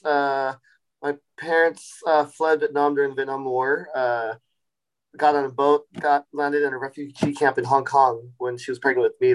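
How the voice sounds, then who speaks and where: A man in his forties, a chef and restaurant founder, telling his story in a relaxed podcast interview with many fillers and restarts. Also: medium pitch, low-quality recording. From Sacramento, California. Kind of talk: casual interview.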